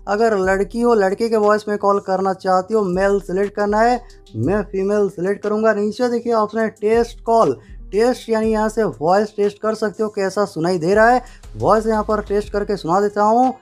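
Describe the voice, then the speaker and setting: A man's voice: high voice, steady tone, normal speed. A man narrating a step-by-step phone tutorial in an instructional way, repeating stock phrases. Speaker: tech tutorial presenter. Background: faint music.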